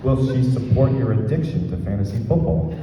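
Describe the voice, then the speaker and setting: A man's voice: medium pitch, expressive, brisk. A man giving a humorous scripted wedding speech, read aloud like a storybook. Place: large reverberant event room at a wedding. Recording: very echoey, noisy hall from far off.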